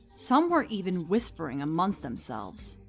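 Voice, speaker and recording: A woman's voice: fairly low pitch, huge pitch swings, a little slow. A woman reading scripted story narration as the narrator. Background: music.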